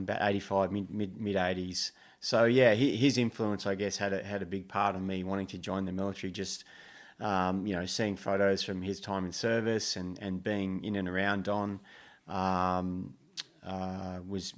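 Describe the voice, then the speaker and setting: Give me a relaxed Australian man in his fifties, with a fairly low voice, recalling his past. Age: 50s. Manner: relaxed, many ums and restarts. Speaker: guest, former special forces soldier. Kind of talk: casual interview. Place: living room at home.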